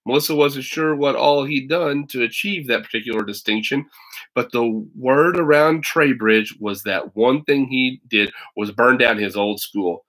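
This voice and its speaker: A man's voice: mid-range voice, measured pace, a bit bright, low-quality recording. An American man in his forties, a teacher talking casually and reading a storybook aloud to children at home. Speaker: teacher and children's book read-aloud host. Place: small room at home, indoors. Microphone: webcam or laptop mic at arm's length.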